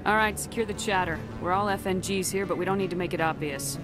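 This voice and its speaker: An actress playing a tough, blunt military commander in a video game, firm and no-nonsense. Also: female voice, fairly low pitch, quick pace, music in the background, close to an everyday voice. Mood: composed, firm. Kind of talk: video game cutscene acting.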